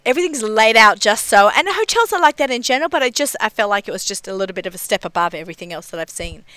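A woman's voice: mid-range voice, expressive, very fast, bright, forceful tone, dry recording. A woman with an Australian accent interviewing someone in a hotel, chatty and gushing with lots of restarts. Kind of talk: enthusiastic interview chat.

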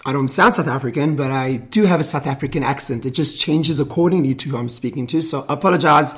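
Energetic thirties man, radio guest, mid pitch, eager. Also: quick pace. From Israel. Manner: enthusiastic, energetic. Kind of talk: casual radio interview.

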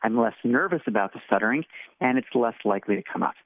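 A man's voice: mid-range voice, animated, fast talker, dry recording. A man, author and interview guest, who speaks with a stutter and often repeats words. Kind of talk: interview conversation. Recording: tinny phone line.

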